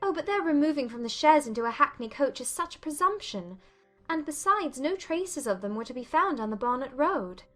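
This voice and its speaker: High-pitched actress playing young woman, anxious, earnest, sceptical, audiobook period drama, faint music. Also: animated, fairly quick, a bit bright. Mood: doubtful, agitated.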